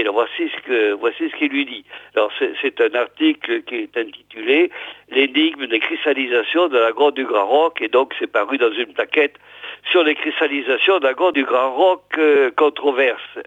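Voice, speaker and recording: A hoarse man presents a local radio programme, reading old articles aloud in a halting way full of fillers. His voice sits at a middle pitch, and it comes through thin and phone-like, with a dry, close sound. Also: dry recording. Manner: hesitant, many fillers and restarts. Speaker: radio host.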